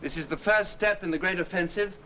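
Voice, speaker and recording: An actor playing a brisk, genial British army officer in a 1940s war film, encouraging his men. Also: sits high, bright, forceful tone. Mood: confident, encouraging. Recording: noisy muffled 1940s film soundtrack.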